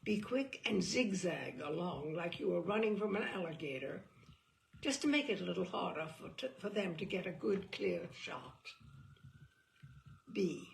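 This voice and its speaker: A woman reading a book aloud as a storyteller, theatrical and expressive, with a wry, arch delivery. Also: lowish voice, moderate pace, gravelly, a little hiss or hum, low-quality recording.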